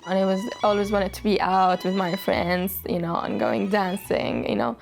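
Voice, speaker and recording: A young woman speaks thoughtfully and a little haltingly, often pausing on small fillers as she tells her story. Her voice sits at an ordinary middle pitch, with music playing clearly beneath her. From Syria. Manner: reflective and hesitant, frequent "you know" fillers. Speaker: interviewee. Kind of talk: documentary interview and personal testimony. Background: background music.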